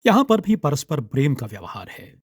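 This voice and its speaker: A man narrating a scripted literary story in a measured, dramatic storytelling manner. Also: a little high, very animated, breathy edge, dry recording, studio quality.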